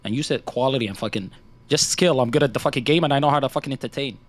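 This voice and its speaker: A man's voice: medium pitch, rapid-fire. Young man, casual call chat, blunt teasing, constant swearing. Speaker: interviewer on a stream call.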